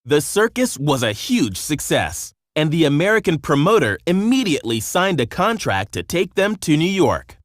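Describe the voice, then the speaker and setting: A man narrating a scripted children's storybook in an expressive, measured storytelling manner. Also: fairly high pitch, no echo, studio quality.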